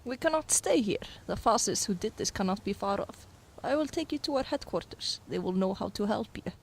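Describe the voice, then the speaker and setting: A stern young Soviet woman lieutenant played by an actress in a radio play, resolute and formal in every phrase. Her mid-pitched voice sits in a clearly noisy amateur recording. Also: lively intonation, brisk. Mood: grave, determined. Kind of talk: radio drama acting.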